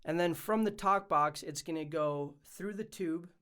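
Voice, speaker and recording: A man's voice: on the high side, unhurried, a bit bright. A man presenting a casual explainer vlog as a music production YouTuber.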